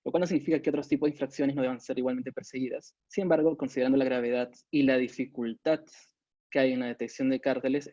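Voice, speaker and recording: Mellow man presenting, dull online audio. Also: medium pitch, dry recording. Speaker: webinar presenter, competition agency adviser. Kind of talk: informal expert lecture with slides. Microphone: video call microphone. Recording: dull compressed webinar audio.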